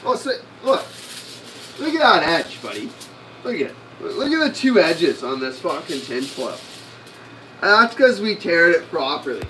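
A man's voice: fairly high pitch, very animated, moderate pace, sharp tone, far from the mic, a little hiss or hum, poor audio. A young man in his twenties, swearing and rambling casually while cooking in a small home kitchen. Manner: rambling, heavy swearing and fillers. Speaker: cooking stream host.

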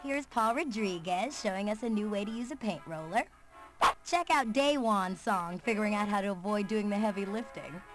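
A woman's voice: mid-range voice, normal speed, over loud music, lightly acted. A young woman with an American accent playing an upbeat skater girl and comic artist in a video game cutscene, perky and slangy.

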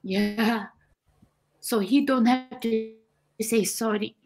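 A woman's voice: a little high, steady tone, slow pace, darkish tone, faint music behind. A woman playing a fretful Korean immigrant mother, speaking pleading broken English with a Korean accent on a video call. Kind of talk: live table read.

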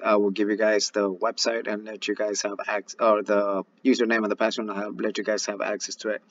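A man teaching an informal online read-aloud lesson in a halting, thinking-aloud manner. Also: medium pitch, no echo, muffled recording. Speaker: teacher reading aloud to pupils.

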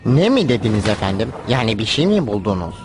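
Meek cartoon pirate sidekick. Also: male voice, fairly low pitch, very animated, quick pace, background noise. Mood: deferential. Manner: meek, deferential. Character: deferential, fretful pirate sidekick, gullible yet dryly loyal man. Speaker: cartoon voice actor. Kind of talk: animated cartoon dub acting.

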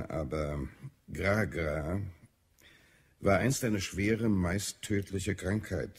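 An actor playing an irritable, weary Martian health adviser in an audio drama, speaking gravely. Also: male voice, deep voice, a little mellow, heavily acted. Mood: grave warning. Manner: grave, edgy, deliberate. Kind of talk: German audio drama acting.

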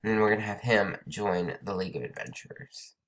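A woman's voice: very deep voice, a little fast. A young woman hosting a let's play, chatting casually over gameplay. She has a cold and sounds stuffed up, and she rambles with lots of "like" and "um". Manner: chatty, rambling, says "like" and "um" often.

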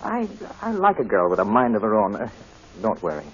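A suave big game hunter, the villain of a vintage radio mystery. His voice is low and rough-edged, mock-polite and threatening, on a muffled old recording. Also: huge pitch swings, a little fast, heavily acted. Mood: coolly threatening. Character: smooth, menacing, arrogant big game hunter. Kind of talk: 1940s radio villain acting.

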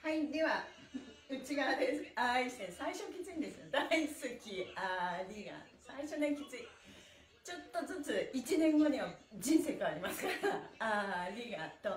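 High-pitched, cheerful woman leading stretches. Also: lively intonation, moderate pace. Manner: cheerful, encouraging, often laughing as talking; exercising while talking. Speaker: stretching class instructor. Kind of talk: live exercise instruction with chanted counts. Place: small indoor room with bare wall, on a mat. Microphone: camera a few metres away. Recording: roomy echo, distant mic.

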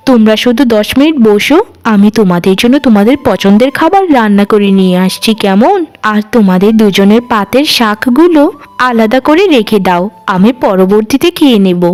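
A woman playing a gentle, sweet youngest daughter. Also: mid-range voice, lively intonation, brisk, pitched below the performer's own voice, lightly acted. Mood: soothing, pleading. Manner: gentle, pleading, soothing. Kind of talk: cartoon voice acting in a family folktale.

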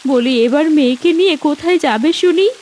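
Woman as a high-pitched nagging village wife. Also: quick pace, mellow, a little hiss or hum, lightly acted. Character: nagging, worried village wife and protective mother. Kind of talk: cartoon fairy-tale acting.

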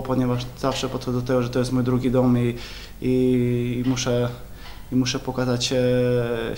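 A young man, a football player, answering questions at a press conference, halting with many repeated words and fillers. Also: medium pitch, a little mellow, slight background noise. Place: club press room, indoors. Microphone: table mics in front.

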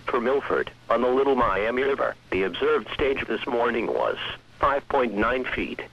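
Synthetic male weather radio announcer, American, mid-pitched, impersonal scripted read, dull broadcast sound. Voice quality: synthetic text-to-speech voice. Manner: measured, impersonal. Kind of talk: scripted weather bulletin reading.

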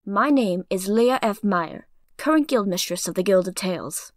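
A woman voicing a stern, composed guild mistress creature in a comic dub, speaking formally and with measured authority. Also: medium pitch, expressive, dry recording, clean studio sound, natural voice. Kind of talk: fan comic dub voice acting.

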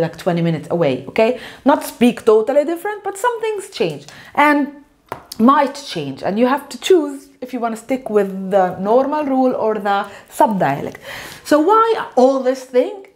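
A high-voiced woman in her thirties, a native Arabic speaker, teaches at a whiteboard. She is animated and emphatic, and she slows down to repeat key words. She is recorded close on a lapel mic in a bright room. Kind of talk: whiteboard language lesson.

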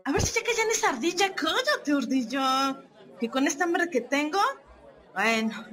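A woman's voice: high-pitched, lively intonation, moderate pace, music in the background. An actress playing an outspoken, slangy young Mexican woman in a radio soap, given to exclaiming. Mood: impatient. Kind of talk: radio soap opera acting.

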